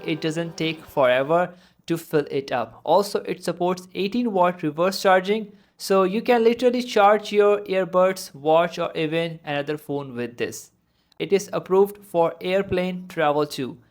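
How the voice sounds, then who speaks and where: Medium-pitched tech reviewer talking through a product.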